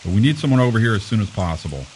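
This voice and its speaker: Casting: male actor as blustering police commissioner, low voice, sarcastic and impatient, radio mystery. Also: very animated, racing, a little mellow, slight background noise, poor audio. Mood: businesslike, grumpy. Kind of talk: audio mystery drama acting.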